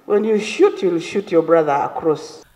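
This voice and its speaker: A man's voice: on the high side, normal speed, darkish tone. A man, a legislator, telling an example in an emphatic formal speech in a chamber. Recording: reverberant hall.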